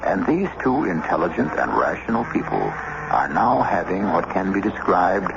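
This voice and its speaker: Deep, gravelly man hosting radio mystery. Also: sing-song. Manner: measured, deliberate, theatrical. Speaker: host and narrator of a radio mystery series. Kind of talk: dramatic scripted radio narration. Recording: very noisy, muffled old radio recording.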